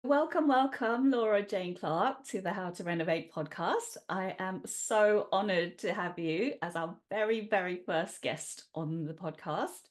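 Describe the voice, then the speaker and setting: A woman's voice: mid-range voice, measured pace, hardly any pauses. Woman, podcast host, hesitant phrasing, um and kind of. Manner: warm welcomes, hedging with kind of and um. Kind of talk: podcast intro and interview questions. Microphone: podcast studio mic.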